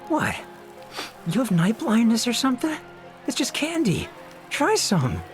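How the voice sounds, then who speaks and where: A man voicing a shady, pushy drug dealer in a video game, slick and coaxing but quick to get defensive. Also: very high-pitched, wildly swooping pitch, soft background music, exaggerated voice. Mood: defensive, mocking.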